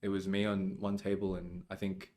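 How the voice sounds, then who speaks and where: A man's voice: low-pitched, monotone, moderate pace, a little mellow. A young man, a podcast guest, telling school stories in casual chat and laughing often.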